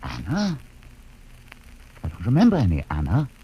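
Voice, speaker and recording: An actor playing a reserved scientist in a 1950s radio drama, formal and detached. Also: male voice, deep voice, wildly swooping pitch, moderate pace, warm tone, husky, lo-fi sound, full character voice. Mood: guarded. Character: reserved middle-aged scientist, guarded and cold. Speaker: doctor on the ship.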